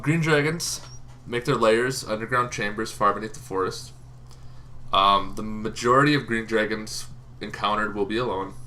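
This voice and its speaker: A man hosting a tabletop gaming channel, explaining casually off the cuff in an informal, halting way, as if thinking aloud. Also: on the low side, sharp tone. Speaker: host of a tabletop roleplaying lore channel. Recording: noticeable background noise.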